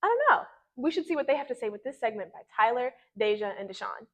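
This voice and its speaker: A woman's voice: fairly high pitch, animated, fast talker, fairly bright tone. A teenage girl, a senior hosting a student show, reading upbeat scripted links. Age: teens.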